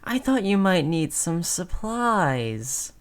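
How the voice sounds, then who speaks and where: A woman's voice: fairly low pitch, big pitch swings, takes it slowly. A woman playing a sly, chummy female zombie in an audio roleplay, coaxing in a falsely friendly, hesitant conversational way.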